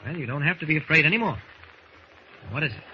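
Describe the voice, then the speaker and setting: Animated, commanding heroic man. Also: on the high side, fast talker. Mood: reassuring. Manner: commanding, reassuring. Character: firm, protective, confident man, a heroic leader. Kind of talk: 1940s radio acting. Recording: dull, hissy old radio recording.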